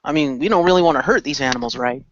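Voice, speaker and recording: A man's voice: a little high, animated, racing, no echo, highs cut off, natural voice. A man playing a cautious, reluctant young hero with casual slangy talk in an amateur radio play. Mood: wary, casual.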